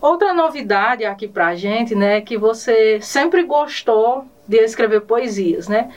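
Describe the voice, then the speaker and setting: A woman hosting an interview, asking questions in warm, formal phrasing. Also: on the high side, animated, takes it slowly, dull audio, faint music behind. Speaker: interview host. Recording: distant microphone.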